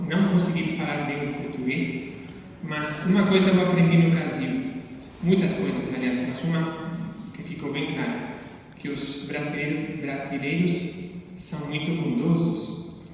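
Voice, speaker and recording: Male priest, native Spanish speaker with a Spanish accent, higher-pitched voice, sermon delivery in phrases, very echoey church. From Spain. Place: large reverberant church interior. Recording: very echoey church, distant mic, faint noise.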